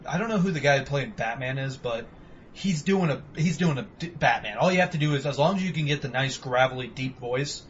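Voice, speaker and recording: A man's voice: mid-range voice, fairly quick, slight background noise. A young man co-hosting a podcast, rambling in casual, profane chat about video games. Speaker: podcast co-host. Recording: dull lo-fi home recording.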